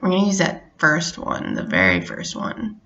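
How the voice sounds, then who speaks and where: Casual craft tutorial host, middle-aged woman, lowish gravelly voice, relaxed step-by-step delivery, slightly muffled recording. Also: moderate pace. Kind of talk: casual how-to narration.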